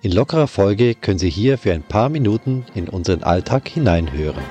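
A man's voice: on the low side, expressive, a little fast, music in the background. A middle-aged man with a Bavarian accent, hosting a podcast with a scripted intro and then casual chat, friendly with some restarts. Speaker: podcast host, orchestra violist.

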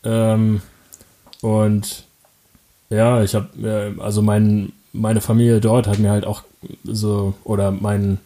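A young man from Germany answering interview questions casually, halting often with fillers, restarts and quick laughs. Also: on the low side, completely flat delivery, warm tone, poor audio.

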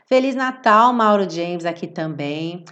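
A woman with a Brazilian accent, hoarse from a cold, chatting expressively and affectionately as she hosts a live online class. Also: fairly low pitch, lively intonation, unhurried, fairly bright tone, highs cut off. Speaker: English teacher hosting a live online music-based class. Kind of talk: informal livestream chat with viewers.

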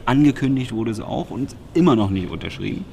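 A man's voice: mid-range voice, animated, brisk, vocal fry, darkish tone, slight background noise. A man from Berlin co-hosting a podcast, recapping press conferences in a wry, sarcastic, rambling way. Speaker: podcast co-host.

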